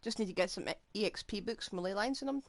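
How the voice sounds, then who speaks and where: A woman's voice: mid-range voice, fast talker, no echo. A woman doing casual, rambling live commentary as she plays a video game. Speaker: game streamer. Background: game music.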